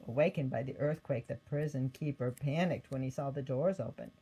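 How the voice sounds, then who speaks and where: A woman reading a scripted children's Bible story aloud in an expressive manner. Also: rumbling low, a little fast, a little hiss or hum, rough recording. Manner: expressive read-aloud with acted quotes. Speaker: storybook reader.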